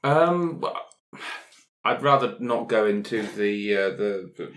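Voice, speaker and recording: A man with a fairly low voice plays a tabletop roleplaying game. He talks haltingly, feeling his way through improvised narration and casual table talk. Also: animated, a bit bright.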